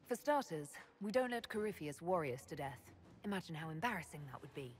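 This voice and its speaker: An actress playing a composed, decisive fantasy leader in a video game, direct and dryly witty. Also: female voice, sits low, sing-song, brisk. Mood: resolute, wry. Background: faint music.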